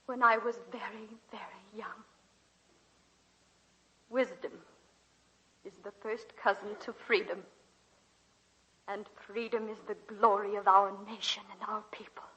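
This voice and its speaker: An actress playing a retired college teacher over seventy, dry, tender and reflective, in a 1940s radio drama style. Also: female voice, fairly high pitch, bright, forceful tone. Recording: dull vintage 1940s radio recording.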